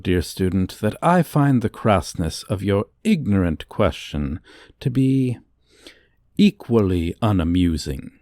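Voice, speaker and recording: A man's voice: lowish voice, dark tone, no room sound, clean studio sound. A man voicing a haughty dragon professor in an ASMR roleplay, condescending to a human student in pompous, archaic, formal diction. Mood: irritated, contemptuous.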